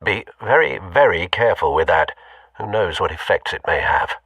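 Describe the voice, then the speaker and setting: Husky, refined older British butler. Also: male voice, low-pitched, very animated, normal speed, fairly bright tone, no room sound, far from the mic, heavily acted. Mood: concerned. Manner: formal, dry, understated. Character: refined, loyal, concerned older butler man. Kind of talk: video game voice acting.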